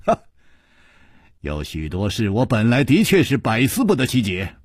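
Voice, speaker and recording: A man voicing a calm, shrewd hero in a wuxia audiobook, reasoning deliberately with pointed amusement. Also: lowish voice, breathy edge, no room sound, clean studio sound, heavily acted. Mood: composed, probing.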